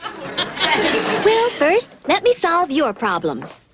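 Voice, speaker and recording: A woman's voice: extremely high pitch, wildly swooping pitch, drawn-out words, a loud crowd, very noisy, full character voice. A woman playing a cheerful, whimsical magical schoolteacher in a cartoon, theatrical and encouraging. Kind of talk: 1970s cartoon voice acting. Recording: music behind the voice.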